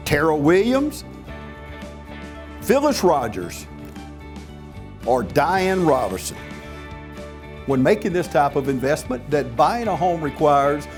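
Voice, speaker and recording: Male announcer, fairly high voice, very varied intonation, polished scripted ad read, measured sales delivery. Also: darkish tone. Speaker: commercial announcer. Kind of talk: scripted local advertisement voice-over. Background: music.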